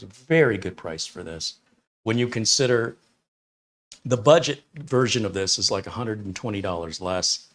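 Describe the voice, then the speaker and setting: A man in his fifties or sixties, from Detroit, hosting a casual live stream as a tech reviewer, rambling on and answering viewer questions with blunt opinions. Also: lowish voice. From Detroit, USA. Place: small home studio room.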